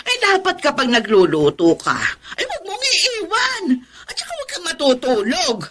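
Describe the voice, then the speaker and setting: High-pitched, fussy scolding aunt. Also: female voice, huge pitch swings, normal speed, background noise, exaggerated voice. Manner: exclamatory, nagging. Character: fussy, scolding middle-aged aunt, easily exasperated. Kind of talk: radio drama acting.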